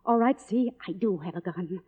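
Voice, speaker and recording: A woman's voice: medium pitch, moderate pace, dark tone, slightly rough, natural voice. An actress playing an anguished, pleading woman in love, with dramatic, emotional 1950s radio melodrama delivery. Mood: wounded, defiant. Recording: tinny vintage radio drama recording.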